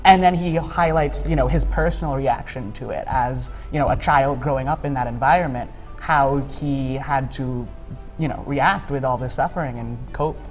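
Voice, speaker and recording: A man's voice: mid-range voice, moderate pace, soft background music, low-quality recording. Young American man, casual talk. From United States. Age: young adult. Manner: relaxed, conversational, with fillers like "you know". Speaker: guest.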